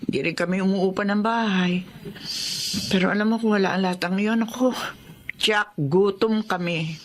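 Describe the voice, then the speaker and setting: Older widowed mom with a Filipino accent, tired and pleading, mid-pitched; actress in a radio drama. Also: female voice, moderate pace, slight background noise, lightly acted. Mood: worried. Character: worried older widowed mother, egg vendor. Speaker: widowed mother of grown sons.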